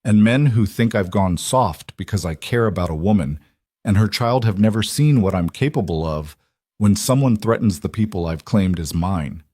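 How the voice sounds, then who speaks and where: A man narrating plays a brooding, controlled mafia boss in a romance audiobook. He speaks low, in grave, measured declarations, on a dry recording. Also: lively intonation, a little mellow, dry recording. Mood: intense, possessive. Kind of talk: dramatised audiobook dialogue.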